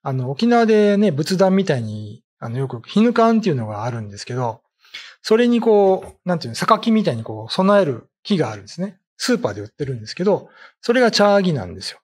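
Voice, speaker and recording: Lively, slightly husky middle-aged man. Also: fairly high pitch, quick pace. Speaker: ghost story writer and commentator. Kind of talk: informal explanatory commentary. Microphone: desk condenser mic with pop filter.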